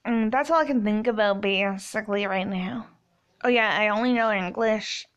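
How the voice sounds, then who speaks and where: A woman's voice: mid-range voice, moderate pace, highs cut off. Rambling young woman hosting a podcast. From Canada. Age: 20s. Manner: rambling, many ums and likes. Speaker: podcast host. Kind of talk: casual solo podcast intro.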